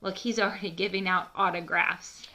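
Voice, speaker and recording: A woman's voice: fairly low pitch, little pitch movement, normal speed, pushed and bright. A woman reading a children's storybook aloud, explaining things simply as the host.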